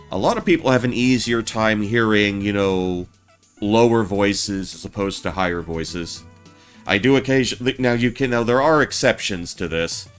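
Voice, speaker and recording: A man with a naturally low voice chatting casually over a game stream. He rambles and stammers, restarting his sentences often. Faint game music plays behind him. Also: smooth. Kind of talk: casual let's-play commentary.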